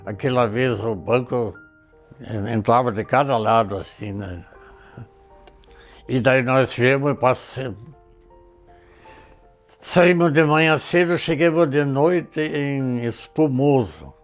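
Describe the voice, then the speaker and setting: Picture a man in his nineties, his voice pitched at an ordinary middle height, telling a documentary interviewer about a long trip from decades ago. He rambles and circles back, stringing his memories together with little tag words, while soft guitar music plays faintly underneath. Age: 90s. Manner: rambling, slow recollection full of filler tags.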